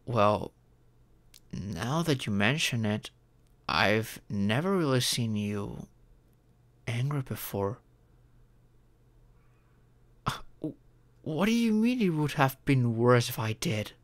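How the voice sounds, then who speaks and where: A performer voicing a caring, earnest young romantic partner in an intimate ASMR roleplay, with deliberate pauses. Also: mid-range voice. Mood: gentle, concerned.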